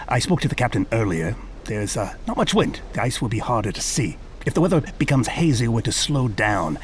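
An actor playing a courteous, steady ship's officer in a period audio drama, measured and formal. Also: male voice, mid-range voice, slight background noise, close to an everyday voice. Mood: businesslike.

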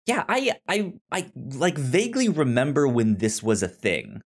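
A man hosting a podcast in casual discussion, speaking haltingly and often stammering over words and sounds. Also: mid-range voice, expressive, husky. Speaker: podcast host.

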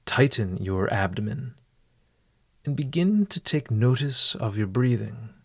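A man narrating a scripted guided meditation as host, with formal, deliberate, ornate phrasing. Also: mid-range voice, lively intonation, no room sound, clean studio sound.

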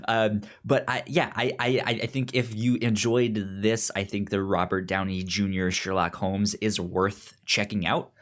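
A man's voice: lowish voice, little pitch movement, unhurried, few pauses. A man co-hosting a podcast, giving recommendations in casual conversation, often repeating and restarting his words. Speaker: podcast co-host.

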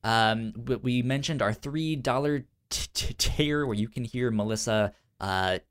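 Male casual podcaster, medium pitch, halting delivery with a noticeable stutter and repeated syllables. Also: clear, resonant tone. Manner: halting, informal. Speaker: podcast co-host.

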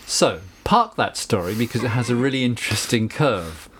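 A middle-aged man with a Southern English accent, a recording engineer telling anecdotes in a relaxed interview, chatty with frequent asides. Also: medium pitch, moderate pace, noisy background. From England. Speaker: guest, recording engineer and producer.

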